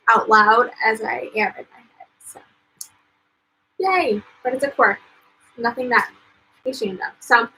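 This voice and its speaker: A young woman from the United States chats to viewers on a live stream from home. Her voice sits a little high, bright and a touch husky. She rambles warmly through filler words and laughs between thoughts. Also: rough recording. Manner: chatty, lots of "um" and "like", laughs often. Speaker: author and vlogger. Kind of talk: casual live-stream chat.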